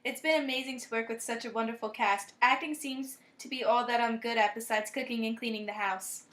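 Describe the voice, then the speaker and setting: A woman's voice: fairly high pitch, fairly even intonation, fairly quick, fairly bright tone, lightly acted. A young woman playing a gracious 1950s sitcom actress and devoted housewife in an earnest, rehearsed mock television interview. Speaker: interview guest. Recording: echoey room, student recording.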